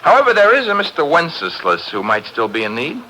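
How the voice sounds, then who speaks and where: An actor playing a sarcastic young detective's assistant in a vintage radio drama, with quick, teasing delivery. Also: male voice, a little high, huge pitch swings, bright, forceful tone, full character voice, poor audio. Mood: wry. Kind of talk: 1940s radio acting. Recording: old radio broadcast with faint hiss.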